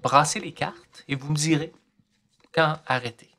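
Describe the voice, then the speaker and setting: A man's voice: on the high side, a little slow, bright, forceful tone. A man hosting a podcast interview, prompting his guest with short questions and echoing back what he says. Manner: brief encouraging prompts and echoes the guest. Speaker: podcast host. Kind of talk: interviewer, conversational.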